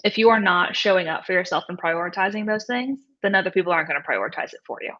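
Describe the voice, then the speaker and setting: A woman's voice: on the low side, fast, bright, forceful tone. Reflective woman, dull lo-fi audio. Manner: reflective, frequent "right?" tags. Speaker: author and coach, guest. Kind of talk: conversational interview.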